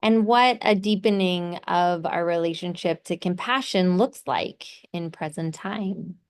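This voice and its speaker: Slow, smooth-voiced woman guiding a meditation. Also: lowish voice. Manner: unhurried, pausing between short phrases, soothing. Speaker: meditation guide. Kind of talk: guided meditation, gently instructive.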